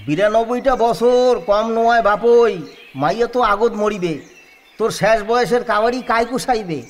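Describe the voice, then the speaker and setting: A man's voice: extremely high pitch, moderate pace. A man playing a sly teasing village friend. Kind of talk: rural audio drama acting.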